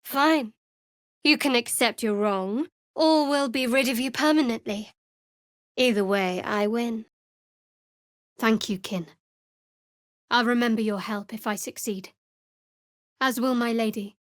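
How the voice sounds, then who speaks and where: A woman's voice: on the high side, unhurried, long pauses, no echo, studio quality, close to an everyday voice. Woman acting a guarded, wry young female cleric. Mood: dry, curt, then guarded, measured. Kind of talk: video game voice acting.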